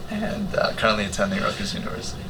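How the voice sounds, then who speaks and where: A man's voice: on the low side, big pitch swings, rapid-fire, vocal fry, background noise. A twenty-one-year-old man, answering earnestly and hesitantly in a casual panel. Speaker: youth volunteer organizer.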